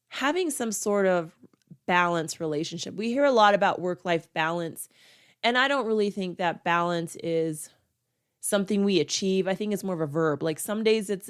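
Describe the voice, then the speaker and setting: Congested, nasal middle-aged woman, podcast host, smooth clear tone, earnest and emphatic. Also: medium pitch. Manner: earnest, repeats words for emphasis. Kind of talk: solo podcast talk.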